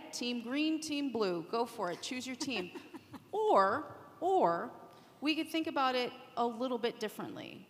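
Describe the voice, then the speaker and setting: A woman panelist explaining things as a media executive, posing rhetorical questions and checking in with her listeners. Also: on the high side. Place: conference session room. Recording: echoey hall, long reverb.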